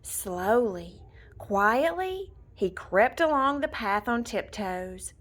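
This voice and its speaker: A woman reading a children's storybook aloud in an expressive, deliberate storytelling manner. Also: a little high. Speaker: storybook reader. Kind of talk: scripted children's story narration, read aloud.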